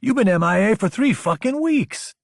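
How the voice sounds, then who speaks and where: A man's voice: medium pitch, animated, moderate pace, a little mellow, husky, no echo, clean studio sound, pitched above the performer's own voice. A man voicing a brash younger lieutenant in an audiobook, a blunt, slangy, teasing military buddy. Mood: indignant.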